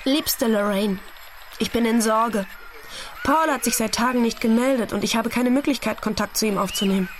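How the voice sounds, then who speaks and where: A woman's voice: a little high, normal speed, heavy background noise, lightly acted. An actress playing a worried woman voicing a personal letter as a radio play monologue. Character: worried woman reading out her intimate letter. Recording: background voices behind the speaker.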